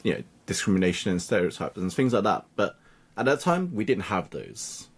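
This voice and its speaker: A man whose first language is Cantonese talks through his upbringing as a podcast guest. His voice sits fairly low, and he slips into lively impressions of the people he quotes. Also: a little fast, husky. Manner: animated, acts out quoted voices of family and peers. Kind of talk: conversational interview storytelling.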